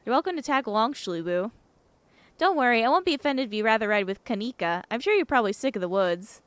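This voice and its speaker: A woman's voice: a little high, expressive, fast talker, no room sound, close to an everyday voice. A woman playing a friendly, eager, slightly nervous young small-town woman in a visual novel, chatty and apologetic. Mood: friendly, uneasy.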